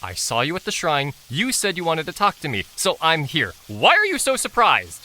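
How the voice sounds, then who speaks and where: A determined youngster played by an actor with a bright voice and lively intonation. The delivery is earnest, insistent and frustrated, as in an audio drama. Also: mid-range voice, normal speed, rough recording.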